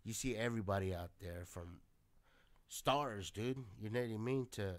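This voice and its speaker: A man's voice: on the low side, moderate pace. A man with a chest cold chatting casually on a podcast, laid-back and swearing a lot. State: congested. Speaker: podcast co-host. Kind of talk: casual chat.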